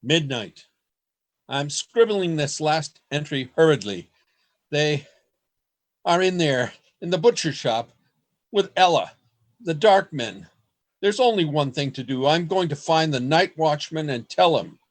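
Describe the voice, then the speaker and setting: Radio play diarist, played by a man: desperate, earnest, melodramatic; higher-set voice, very measured. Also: lively intonation. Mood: grim, urgent. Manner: earnest, melodramatic, deliberate delivery. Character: sensitive, desperate man writing a frantic last journal.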